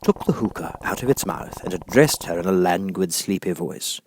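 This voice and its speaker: A man reading a storybook aloud as an expressive audiobook narrator. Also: sits low, normal speed, slight background noise.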